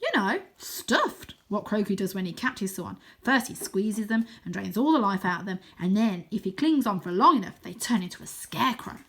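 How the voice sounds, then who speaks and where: A woman voicing an excitable, boastful, matey boy who is half wizard and half ninja in a children's storybook, with dramatic delivery. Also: medium pitch, normal speed, hardly any pauses. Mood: matey, confident. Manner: dramatic, switching between shouts and whispers. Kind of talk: children's storybook character reading.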